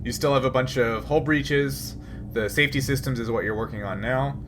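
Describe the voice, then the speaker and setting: A man's voice: medium pitch, brisk. Man running a game over music. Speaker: game master. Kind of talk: running a tabletop role-playing game, conversational.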